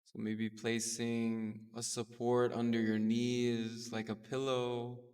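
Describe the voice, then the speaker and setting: A man leading a guided meditation with gentle, soothing, unhurried instruction. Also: medium pitch, monotone, clear, resonant tone. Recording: distant mic in a reverberant space.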